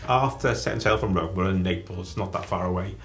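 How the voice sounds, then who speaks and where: A man planning a trip on camera, chatting in a halting way that restarts its sentences. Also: deep voice, faint music behind. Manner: chatty, halting, self-correcting. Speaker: travel vlogger. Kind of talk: casual chat.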